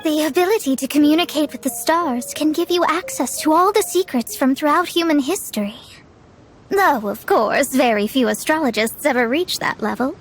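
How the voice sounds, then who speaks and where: Game role: actress as earnest young female astrologer, high voice, formal and scholarly, faint music underneath. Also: moderate pace, close to an everyday voice. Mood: thoughtful, impressed. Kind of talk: video game dialogue.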